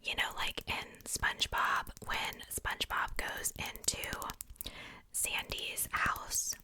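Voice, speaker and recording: A woman's voice: slow pace, sharp tone. A young adult woman hosting ASMR, whispering casually in a rambling, informal show-and-tell with self-joking asides. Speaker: ASMR video host.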